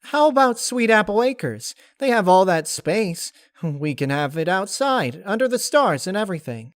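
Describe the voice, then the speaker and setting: A man voicing an excitable female cartoon pony, bubbly and scheming, in an emphatic, eager storybook reading. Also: normal speed, no room sound. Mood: bright eager. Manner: emphatic, eager, high-strung. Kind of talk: dramatic fan-fiction character reading.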